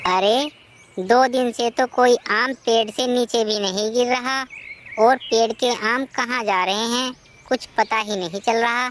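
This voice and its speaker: A woman's voice: a little high, takes it slowly, a little hiss or hum. A woman voicing an honest, polite male sparrow in a cartoon moral story, speaking respectfully and earnestly. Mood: worried, earnest.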